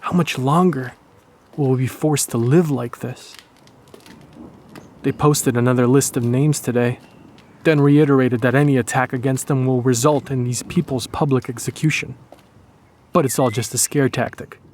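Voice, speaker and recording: A man's voice: medium pitch, fairly even intonation, moderate pace, a little mellow, slight background noise, natural voice. A man playing a bitter, brooding Polish resistance executioner narrating his wartime journal in a dramatic audiodrama.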